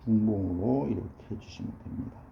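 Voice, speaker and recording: A middle-aged man teaches ink painting in a low, gravelly voice that a cold has left hoarse. He talks slowly and steadily as he works the brush. The recording sounds dull and lo-fi, with faint noise underneath. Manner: slow, measured instruction while painting. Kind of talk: painting demonstration lesson.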